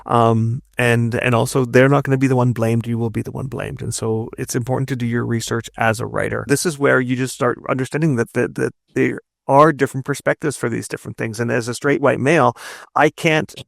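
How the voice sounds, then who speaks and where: A man talking casually as an interview guest, explaining things in a halting way and often doubling back on his words. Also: mid-range voice, steady tone, creaky, dry recording, studio quality. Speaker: guest, narrative designer and writer.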